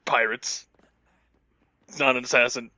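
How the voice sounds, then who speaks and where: A man's voice: mid-range voice, little pitch movement, unhurried, bright, forceful tone, no echo, low-quality recording. A man giving casual gaming commentary in a sardonic, grumbling, profane way. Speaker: Let's Play commentator.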